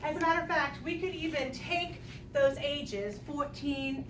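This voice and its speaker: Playful high-voiced woman teacher, echoey classroom. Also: measured pace. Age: 50s. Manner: playful, jokey, talks through examples aloud. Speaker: math teacher. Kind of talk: classroom lecture. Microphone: distant room mic. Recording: echoey classroom, distant mic, noisy.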